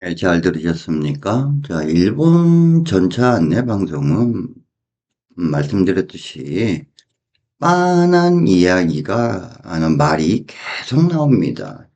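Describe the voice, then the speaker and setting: Guy explaining phrases bit by bit, mellow and slow, repeats stuff. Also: low-pitched, sing-song. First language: Korean. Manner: deliberate, repeating phrases and translating them piece by piece. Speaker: Japanese language teacher. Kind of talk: explanatory language lesson.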